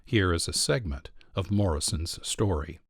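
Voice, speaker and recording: A man giving measured, explanatory scripted narration as the host of a documentary program. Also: low voice, animated, noisy background.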